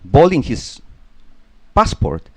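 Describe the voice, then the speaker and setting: Spanish guy doing comedy, mid pitch, laid back, keeps saying you know. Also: takes it slowly, creaky, a little hiss or hum. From Spain. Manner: relaxed, self-deprecating, lots of "you know". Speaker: stand-up comic. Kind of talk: stand-up and chat after the set.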